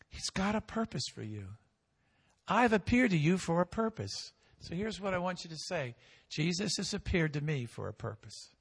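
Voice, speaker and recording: A male pastor preaching a sermon with call-and-response, swinging between loud and hushed, drawing out key phrases slowly. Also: fairly high pitch, slightly rough, long reverb tail.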